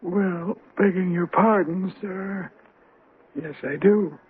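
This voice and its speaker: A man's voice: high-pitched, slow, a little hiss or hum. A man playing a plain-spoken, deferential cemetery manager in an old-time radio drama, folksy and hesitant. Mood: doubtful, respectful. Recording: tinny band-limited radio drama recording.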